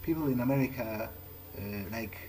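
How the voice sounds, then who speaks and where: A man's voice: medium pitch, fairly quick, close to an everyday voice, rough recording. A man playing an awkward, dry vampire adventurer in a hesitant, rambling improvised commentary on a video game. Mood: curious, awkward. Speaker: let's player. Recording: loud game music behind voice. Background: video game soundtrack.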